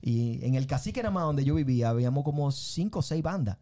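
A middle-aged man with a Dominican accent, a filmmaker and musician telling his story as a guest, animated and often checking that he is understood. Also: mid-range voice, fast. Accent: Dominican Spanish. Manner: animated, tag questions, repeats himself. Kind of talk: casual interview storytelling.

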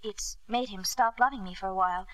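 An actress playing an earnest, vulnerable but proud young wife, hesitant and polite, in a 1950s radio drama. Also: female voice, medium pitch, brisk, no echo, close to an everyday voice, poor audio. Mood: nervous, polite. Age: young adult.

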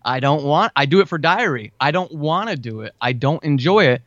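A man's voice: a little high, lively intonation, fairly quick, a bit bright, dry recording. A man speaking as a podcast guest, giving informal, conversational advice step by step in a casual interview. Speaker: podcast guest, writer and audio drama podcaster. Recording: dull, lo-fi, dry close sound.

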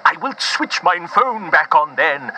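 An actor playing an earnest, grandiose Shakespearean prince, declaiming urgently in archaic style for an audio drama. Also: male voice, fairly high pitch, sing-song, a little slow, a crowd in the background, rough recording. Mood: urgent, grand. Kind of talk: mock-Elizabethan audio drama, leaving a phone message.

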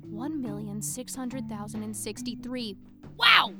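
An actress playing an excitable, suspicious woman flat earther, blunt and exclaiming, in a comedy audio drama. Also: on the high side, measured pace, music in the background. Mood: exasperated, amazed. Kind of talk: audio fiction comedy acting.

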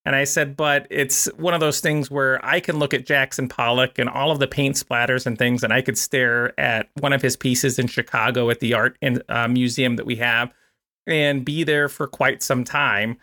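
A man's voice: mid-range voice, steady tone, moderate pace, pushed and bright. A man hosting a podcast interview, chatting in a friendly, rambling way full of fillers. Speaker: podcast host.

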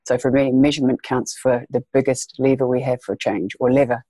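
A woman's voice: very deep voice, fairly even intonation, fairly quick, warm tone, no echo. A woman from New Zealand with a New Zealand accent, speaking earnestly as a podcast guest and repeating phrases for emphasis. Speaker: guest, education leader. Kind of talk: podcast interview.

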